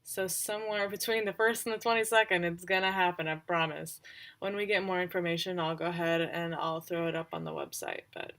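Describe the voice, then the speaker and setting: Low-voiced woman with vocal fry. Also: fairly even intonation, fairly bright tone. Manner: halting, thinking aloud. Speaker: livestream host and tabletop roleplayer. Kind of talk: casual livestream recap, unscripted.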